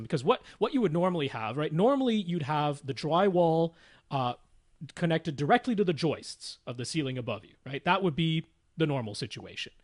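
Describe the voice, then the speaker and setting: A man's voice: a little high, no echo, studio quality. A man co-hosting a podcast, casually explaining technical matters in a methodical, step-by-step way. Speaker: podcast co-host.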